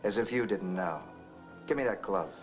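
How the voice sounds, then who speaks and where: A man's voice: sits low, moderate pace, natural voice. A man playing a cold, commanding killer in a 1940s crime film, talking in curt, tough slang. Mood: menacing. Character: cold, menacing male killer and escaped convict in crime film. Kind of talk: 1940s film noir acting. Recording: dull vintage film soundtrack, music behind.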